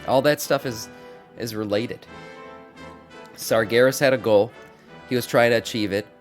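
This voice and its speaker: A man's voice: medium pitch, moderate pace, faint music behind. A man giving casual, conversational commentary on game lore as a streamer, repeating phrases for emphasis. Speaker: gaming content creator and streamer.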